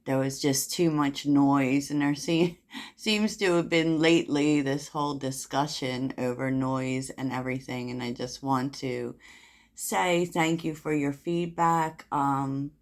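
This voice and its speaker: A woman with a soft voice giving a casual tarot reading, chatty and rambling with many fillers, often laughing at her own jokes. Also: extremely low pitch, fairly even intonation, a little slow. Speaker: tarot reader.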